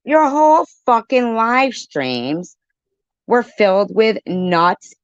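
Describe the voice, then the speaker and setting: An off-the-cuff commentator reacts with open indignation. The pitch moves up and down a lot, and phrases get repeated for emphasis, with swearing mixed in. The recording is dry and somewhat dull. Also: very animated, takes it slowly, clean tone, dry recording. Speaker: commentator reacting to a played livestream clip. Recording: dull lo-fi sound.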